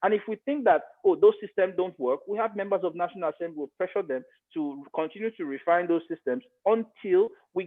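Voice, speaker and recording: Nigerian man on a phone-like line. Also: high voice. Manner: emphatic, repeats phrases for emphasis. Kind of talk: opinionated podcast discussion.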